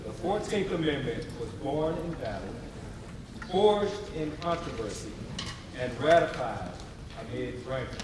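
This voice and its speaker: A man performing as an impassioned history narrator in a staged reading, with emphatic, deliberate phrasing. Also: mid-range voice, very animated. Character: impassioned male narrator of civil rights history. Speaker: stage performer in readers theatre. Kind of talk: dramatic staged reading, oratory. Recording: echoey hall, distant mic, audience responses.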